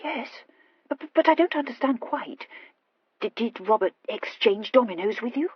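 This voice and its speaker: A woman's voice: fairly high pitch, animated, moderate pace, slightly rough, dry recording, muffled recording, pitched above the performer's own voice. A woman voicing an impulsive, anxious young aristocratic wife who stammers and gushes earnestly in an audiobook story. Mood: puzzled, probing. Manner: hesitant, gushing confession with emphatic outbursts.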